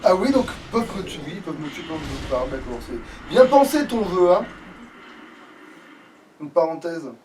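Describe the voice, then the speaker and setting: A man's voice: a little high, very animated, normal speed, pauses often, a little mellow. A man giving casual let's play commentary on a video game, grumbling sarcastically and swearing freely. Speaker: let's player. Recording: slightly distant mic with faint noise.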